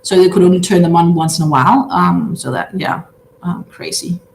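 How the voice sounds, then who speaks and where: A woman in her thirties from Denmark with a Danish accent, a native Danish speaker, hosting a casual livestream from her big living room and chatting with viewers in a halting way with lots of filler sounds. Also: fairly low pitch, fairly even intonation, vocal fry, a little mellow, slight background noise. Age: 30s. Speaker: livestream host. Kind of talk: casual livestream chat, reading out viewer comments. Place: large open-plan living room at home. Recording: roomy echo.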